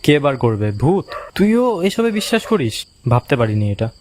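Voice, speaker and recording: A man's voice: medium pitch, sing-song, normal speed, a little mellow, a little hiss or hum, natural voice. A man playing a curious, skeptical young visitor in a cartoon ghost story, questioning and doubtful in manner. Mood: puzzled.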